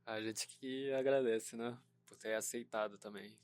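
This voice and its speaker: A man's voice: on the low side, fairly even intonation, a little slow, a little mellow, a little hiss or hum. A man hosting a podcast interview, opening the show in an upbeat tone and asking short friendly questions. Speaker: podcast host.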